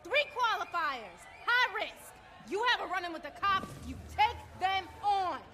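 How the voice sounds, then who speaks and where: An actress playing a bold, commanding crew leader who rallies racers with a challenging, projected delivery in a video game. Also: female voice, extremely high pitch, expressive, unhurried, heavily acted. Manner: forceful, challenging, projecting to a crowd. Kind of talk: video game voice acting, rousing speech.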